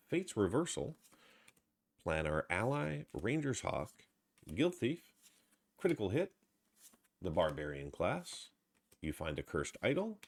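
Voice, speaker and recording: A man with a stuffy head cold casually commenting as he opens trading card packs, reading out card names with low energy. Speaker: card box opener.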